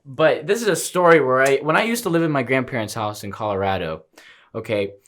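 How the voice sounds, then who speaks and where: A man's voice: mid-range voice, a little fast. A young man on a casual podcast at home, telling a story with lots of laughs and a habit of asking "all right?". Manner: animated storyteller, laughs often, keeps saying "all right?". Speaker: podcast co-host. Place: room at home.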